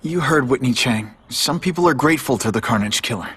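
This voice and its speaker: A man's voice: mid-range voice, lively intonation, a little fast, slight background noise, natural voice. An actor playing an earnest young male superhero in a video game, guilt-ridden yet wisecracking. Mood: troubled, resolved. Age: young adult. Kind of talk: dramatic game voice acting. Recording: loud music behind.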